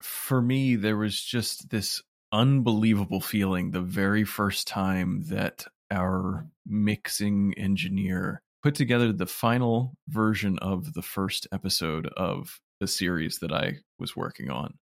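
A man's voice: deep voice, measured pace, gravelly, hardly any pauses, no echo, clean studio sound. A man answering as an interview guest, a producer talking conversationally about his work.

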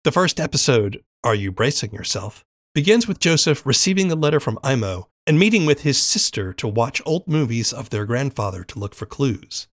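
A man's voice: mid-range voice, very animated, moderate pace, dry recording, studio quality. A man hosting an interview podcast, moving between scripted narration and questions for his guest.

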